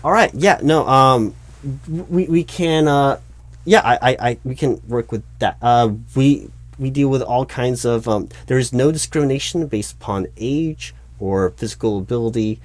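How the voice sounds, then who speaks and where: A man's voice: mid-range voice, normal speed, no echo, lightly acted. A man playing a flustered, officious recruiting manager in a one-sided job interview roleplay, stammering and repeating himself. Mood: concerned, flustered, then awkwardly accommodating. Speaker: roleplay performer.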